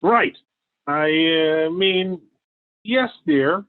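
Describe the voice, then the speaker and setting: High-pitched, meek henpecked husband. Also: male voice, drawn-out words, clean tone, no echo. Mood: meek, agreeable. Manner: meek, hesitant, parroting. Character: timid, agreeable henpecked husband. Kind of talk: 1940s radio sitcom acting. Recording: dull 1940s radio recording with music.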